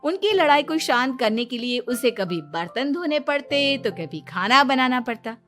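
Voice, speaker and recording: A woman's voice: high-pitched, big pitch swings, normal speed, a bit bright, faint music behind. A woman narrating a scripted cartoon story.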